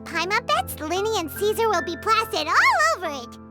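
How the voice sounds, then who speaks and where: Teeny squeaky fairy sidekick for a game, super high, bouncy childish n whiny, loud music behind. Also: female voice, sing-song, moderate pace. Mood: chirpy. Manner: speaks of self in third person, whiny pleading. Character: tiny excitable female fairy companion, childlike and cheeky. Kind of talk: video game voice acting.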